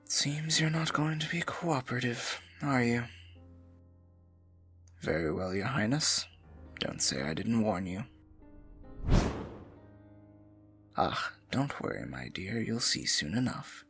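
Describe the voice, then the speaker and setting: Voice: mid-range voice, big pitch swings, a little slow, a bit bright, slightly rough, soft background music, exaggerated voice. An actor voicing a scheming evil royal advisor with magic, speaking in a silky, mocking, condescending way for an ASMR fantasy roleplay. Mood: smug threatening. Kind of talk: ASMR fantasy roleplay, intimate theatrical monologue.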